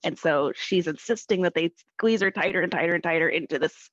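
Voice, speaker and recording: A woman's voice: fairly low pitch, fairly quick, no echo, lo-fi sound. A woman actor telling casual anecdotes in an interview, hesitating as she searches for words.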